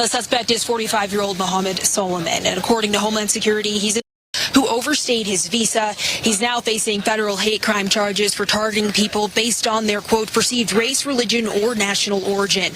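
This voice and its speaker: An American woman delivers a live TV news report in a mid-range voice. She sounds like a field reporter, with clear ambient noise behind her. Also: medium pitch.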